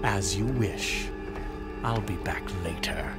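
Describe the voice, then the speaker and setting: An actor playing a cold, sneering military commander serving a tyrant in a video game. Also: male voice, deep voice, takes it slowly. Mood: cold, grim. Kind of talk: video game villain acting. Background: music.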